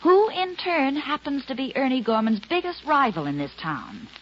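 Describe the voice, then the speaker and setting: An actress playing a brisk, determined secretary turned amateur sleuth in a 1940s radio drama. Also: female voice, high voice, huge pitch swings. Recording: muffled, faintly hissy old radio recording.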